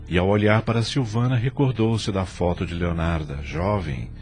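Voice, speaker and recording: A man's voice: deep voice, normal speed, a little mellow, soft background music. A man narrating an audio novela in a dramatic scripted storytelling style.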